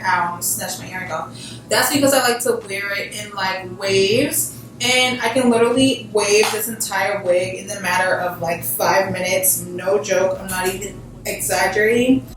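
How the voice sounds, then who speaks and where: A woman giving a casual, chatty hair tutorial in an informal, emphatic way, peppered with filler words like "literally". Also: mid-range voice. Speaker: beauty vlogger presenting a tutorial. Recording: very echoey room, distant mic, faint music.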